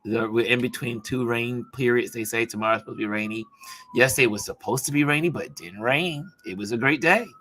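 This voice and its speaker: A man hosting a casual livestream chat in a chatty way, his voice hoarse from talking. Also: medium pitch, a little fast, a bit bright, a little hiss or hum. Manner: chatty, greets viewers by name. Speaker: talk show host.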